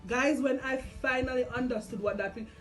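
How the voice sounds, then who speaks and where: High-voiced Jamaican woman over background music. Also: a little fast. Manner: expressive, slipping between English and Patois. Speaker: vlog host. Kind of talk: casual faith chat, retelling and reading scripture. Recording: music behind the voice.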